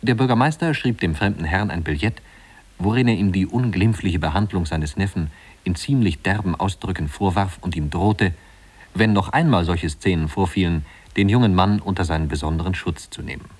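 A man's voice: deep voice, normal speed, slightly rough. A man narrating a literary tale for a German radio play in a scripted storytelling style.

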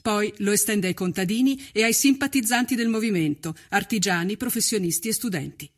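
A man reading scripted documentary narration in a solemn, measured and dramatic way. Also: high-pitched.

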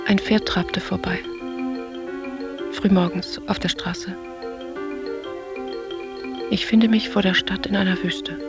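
A woman playing a pensive, detached narrator who recounts memories and dreams in measured, short literary sentences for a radio play. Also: medium pitch, steady tone. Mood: dreamlike, musing. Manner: measured, introspective. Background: music.

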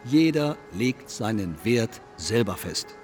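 An actor playing a cynical, coolly provocative man in a stylised radio play, smug, needling and deliberate. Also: on the low side, expressive, a little mellow, soft background music. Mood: cool, argumentative.

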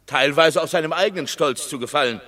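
A man's voice: fairly high pitch, fairly quick, pushed and bright. A man playing a bitter, defiant Roman citizen leading hungry rebels, blunt and declamatory in a classical radio play. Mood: scornful, defiant. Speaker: actor. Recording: long reverberant hall sound.

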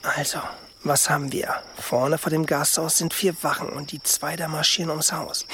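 An actor in a German radio drama playing a stealthy, grumbling medieval assassin, hushed and urgent, often whispering. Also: male voice, a little high, level tone, normal speed, slight background noise. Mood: hushed, tense. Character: stealthy, grumbling medieval assassin, twin brother to his accomplice.

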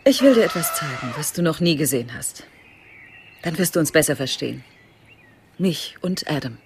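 An actress playing a self-assured, patronizing zoo director in a German radio drama, declamatory and condescending. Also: female voice, deep voice, sing-song, normal speed, creaky, slight background noise, full character voice. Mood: brisk, confiding. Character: Andrea Burden, grandly self-assured, patronizing woman running a zoo project.

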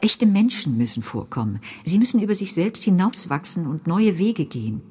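Strict rule-giver, radio play; lowish, dark voice, formulaic delivery. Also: female voice, big pitch swings, moderate pace, husky, soft background music, exaggerated voice. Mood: prescriptive. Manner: prescriptive, formulaic. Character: female script-rule lecturer, strict and formulaic. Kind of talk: radio drama, recited rules.

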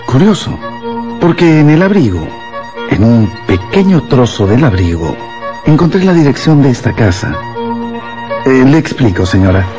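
An actor playing a courteous police inspector in a radio drama, probing politely and a little hesitantly, over music. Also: male voice, mid-range voice, very animated, normal speed, pauses often, close to an everyday voice. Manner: polite, hesitant, circling his questions.

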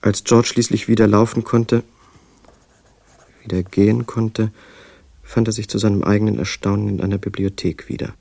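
Gravelly low male storyteller. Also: flat delivery, warm tone, no room sound. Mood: measured. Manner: measured, literary storytelling. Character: male literary narrator telling an inmate's strange story. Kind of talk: scripted radio drama narration.

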